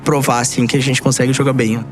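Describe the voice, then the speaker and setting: A man's voice: mid-range voice, little pitch movement, fast, over music. A man with a Brazilian accent, a pro player talking in informal slang in a documentary interview. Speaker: professional esports player.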